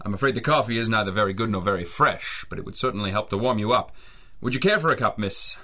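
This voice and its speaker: An actor playing a world-weary, wisecracking private detective in an old-time radio noir, with a deadpan, slangy delivery. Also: male voice, lowish voice, fast talker. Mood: courteous.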